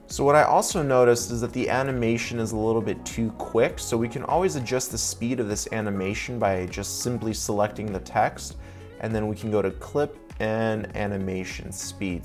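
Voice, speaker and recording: Upbeat man walking through editing software. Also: fairly low pitch, normal speed, faint music behind. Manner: upbeat, step-by-step explaining. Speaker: video editor and tutorial host. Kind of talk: sponsored software tutorial walkthrough.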